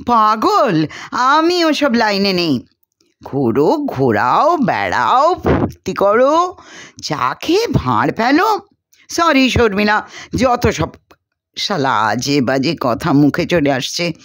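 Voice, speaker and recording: A woman's voice: medium pitch, very animated, slow pace, pauses often, fairly bright tone. A woman voicing a flippant, carefree young male student with a slangy, dismissive manner in a storybook. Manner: colloquial, offhand.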